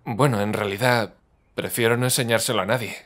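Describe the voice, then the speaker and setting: A man voicing a gentle, awkward teenage schoolboy in an anime fan dub, halting and low-key. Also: fairly even intonation, close to an everyday voice. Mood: reserved.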